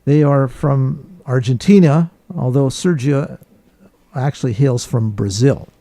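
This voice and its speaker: A man hosting a radio interview, welcoming listeners and guests in a formal, deliberate way. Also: mid-range voice, warm tone, dry recording.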